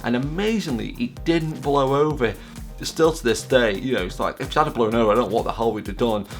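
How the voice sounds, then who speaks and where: A man's voice: medium pitch, a little fast. A British man talks in a loose, casual vlog style. His delivery rambles and has a self-deprecating streak. Music plays behind his voice. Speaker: vlog host.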